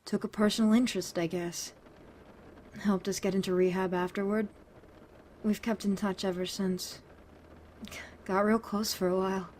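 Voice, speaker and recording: A woman's voice: medium pitch, little pitch movement, normal speed, pauses often, darkish tone, a little hiss or hum, close to an everyday voice. A woman playing a wry, teasing, tough-talking woman in a fan audio drama, casual and blunt. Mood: wry, reminiscing.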